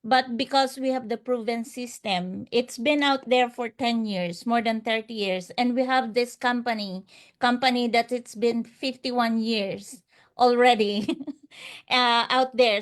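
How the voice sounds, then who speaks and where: Higher-voiced woman, earnest and emphatic. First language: not English. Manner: earnest, emphatic, repeats phrases. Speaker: interview guest, online business promoter. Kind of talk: unscripted motivational testimonial.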